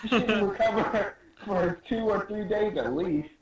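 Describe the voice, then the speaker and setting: A man acts out a boastful pirate hero from a comic, full of disbelief. The voice jumps high and sits far from the mic in a very echoey room. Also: measured pace, a crowd in the background. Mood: shocked, boastful. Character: excitable, boastful, long-nosed male pirate hero. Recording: echoey dull recording from far off.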